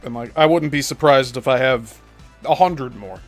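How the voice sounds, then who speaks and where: A man's voice: mid-range voice, moderate pace, faint music behind. A man hosting a reaction commentary over clips, scornful and profane, mocking the person in them.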